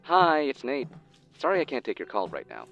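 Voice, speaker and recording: A man's voice: medium pitch, huge pitch swings, moderate pace, vocal fry, a bit bright, faint music behind, full character voice. An actor playing a polite young man's recorded voicemail greeting, phone-filtered, in an audio drama. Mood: friendly, neutral. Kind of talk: modern audio drama acting. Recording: muffled band-limited voicemail playback.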